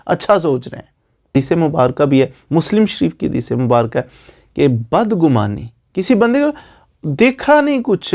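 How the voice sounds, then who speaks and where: A man's voice: on the high side, gravelly, a little mellow. A man with a Pakistani accent giving an informal explanatory lecture with moral advice, repeating phrases for emphasis and posing rhetorical questions. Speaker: lawyer giving legal advice.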